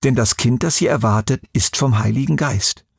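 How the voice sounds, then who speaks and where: Gravelly, husky man explaining things. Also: medium pitch, normal speed, no room sound, studio quality. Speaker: expert answering a child's questions. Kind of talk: conversational explaining for children.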